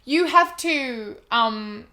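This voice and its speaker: A young woman with a New Zealand accent talks in a casual podcast chat. Her voice sits high and she stresses her points hard, dropping swear words and laughing often. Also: animated, very slow, pushed and bright. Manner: emphatic, swears freely, bursts of laughter. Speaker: podcast co-host.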